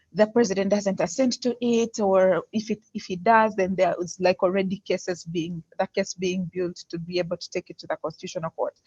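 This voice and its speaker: A woman's voice: lowish voice, slightly rough. A woman giving a candid answer as a guest speaker on an online call, speaking in a hesitant, thoughtful way. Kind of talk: candid spoken answer in an online question session. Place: indoors on an online video call. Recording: muffled, roomy online call audio.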